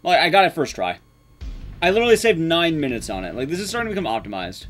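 A man's voice: fairly high pitch, very animated, fast talker, soft background music. A young man giving casual, sweary, self-deprecating live commentary as he speedruns a video game. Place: small room at home, game sound behind.

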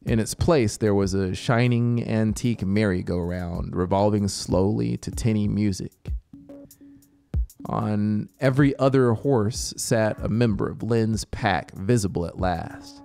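A man in his thirties reads a scripted story in a deliberate, dramatic manner with pauses, close on a studio microphone. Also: lowish voice, vocal fry, dark tone, clear, resonant tone. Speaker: audiobook narrator. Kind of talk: scripted story narration over soundscape. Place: small home music studio, indoors. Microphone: close studio microphone with headphones. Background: atmospheric synth music.

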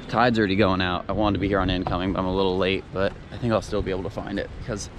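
A young man hosting a casual fishing vlog, talking excitedly to an action camera at a beach jetty. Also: sits low, very fast. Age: young adult. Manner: excited, talks to camera while walking and wading. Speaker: fishing vlogger. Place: outdoors at a beach jetty, wind and surf. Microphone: action camera, often held close or head-mounted. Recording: outdoor wind and water noise.

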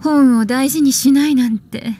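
A young witch librarian for an anime video game. She is poised and languid, teasing with a soft menace, in a fairly high feminine voice over clear background music. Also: measured pace. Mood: coolly menacing.

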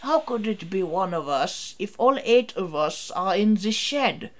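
A man's voice: sits high, big pitch swings, a little slow, fairly bright tone, pitched above the performer's own voice, exaggerated voice. A man voicing a timid, kind-hearted steam engine in a storybook, hesitant and earnest. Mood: nervous. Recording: music behind the voice.

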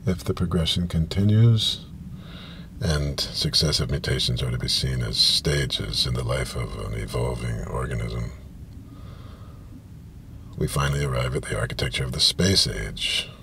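A man in his fifties with a gravelly voice gives a halting, deliberate literary reading, pausing and repeating himself. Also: extremely low pitch. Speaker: reader. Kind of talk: literary reading of science fiction prose. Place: inside a parked car, small space. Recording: steady ambient noise of car interior.

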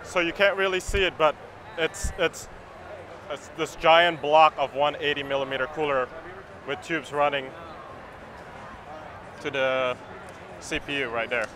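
A man's voice: a little high, fairly even intonation, unhurried, long pauses, a bit bright, a little hiss or hum. A man in his thirties explaining a product at a trade show booth, earnest and agreeable, often repeating words as he explains. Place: busy expo hall floor, indoors. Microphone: camera mic, handheld close.

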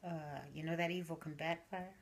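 An older woman, a grandmother, telling a folksy story at a slow, animated pace in a living room, recorded by the camera. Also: sits low, a little hiss or hum.

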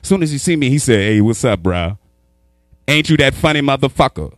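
A man doing stand-up, talking in a medium register and getting loud and animated as he builds to his punchlines. He is from New York and holds a handheld mic in a small studio. The sound is close and dry. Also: mid-range voice, a little fast, no echo. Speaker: stand-up comedian. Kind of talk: stand-up routine. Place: small studio with sponsor backdrop. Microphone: handheld microphone.

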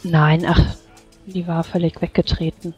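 A woman's voice: fairly low pitch, flat delivery, unhurried, music in the background, natural voice. A woman playing a compassionate, appalled fantasy adventurer in a concerned, conversational way during a tabletop role-play, on slightly muffled audio.

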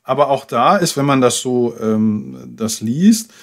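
A man's voice: medium pitch, animated, unhurried, creaky. A man, hosting a show and putting conversational questions to an interview guest. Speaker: radio show host, interviewer.

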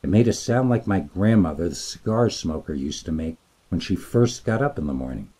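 A man reading a scripted scary story as narrator, in a dramatic, deliberate storytelling manner. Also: low voice, animated, dark tone, breathy edge.

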